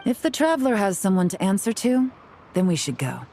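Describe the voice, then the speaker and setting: An actress playing a sly, self-assured intelligence agent in a video game, with a cool, knowing, deliberate manner. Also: female voice, mid-range voice, big pitch swings, mellow, slight background noise. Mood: wry.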